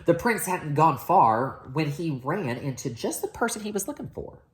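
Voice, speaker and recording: Woman storyteller, low voice, highly varied intonation, children's read-aloud. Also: very animated, moderate pace, a little hiss or hum.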